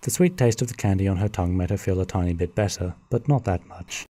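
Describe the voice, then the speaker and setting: A man giving steady, scripted narration for a fan radio play. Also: sits low, fast talker.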